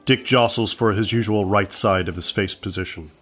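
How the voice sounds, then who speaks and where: A man's voice: fairly low pitch, fairly even intonation, moderate pace, a bit bright. A man reading scripted narration as the narrator of a comedy podcast.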